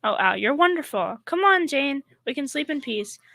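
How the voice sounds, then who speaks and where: A woman's voice: high-pitched, sing-song, moderate pace, bright, forceful tone, no room sound, studio quality, full character voice. A young female performer playing a dim-witted, sweet, naive secretary in old radio comedy style. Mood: gushing, naive. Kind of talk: 1940s radio comedy acting.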